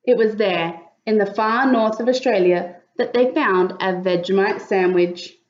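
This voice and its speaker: A woman reading a picture book aloud as an expressive storyteller, with dramatic emphasis for young listeners. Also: medium pitch, measured pace, lo-fi sound. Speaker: children's story reader.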